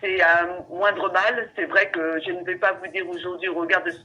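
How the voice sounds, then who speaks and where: Bright-voiced Frenchwoman advising by phone. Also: lowish voice, steady tone, moderate pace, husky. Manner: hesitant, formal, conciliatory. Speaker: legal adviser at a medical council. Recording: telephone call with faint noise.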